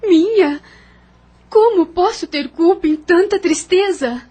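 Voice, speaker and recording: A woman's voice: extremely high pitch, slow, mellow. A woman playing the troubled spirit of a young mother in an audio novel, earnest and formal in a dramatic way. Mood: sorrowful.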